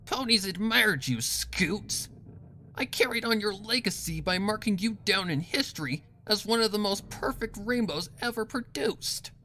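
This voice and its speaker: A performer voicing a cold, sadistic villainess mare who taunts in a drawn-out, sinister way, for a storybook reading. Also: mid-range voice, lively intonation, pushed and bright, a little hiss or hum, voice pushed up. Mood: taunting.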